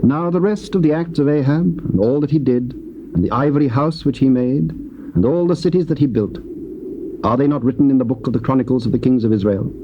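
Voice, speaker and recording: A man reads scripture in a measured, stately way, at a middle pitch. The recording sounds dull and carries clear tape hiss. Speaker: narrator.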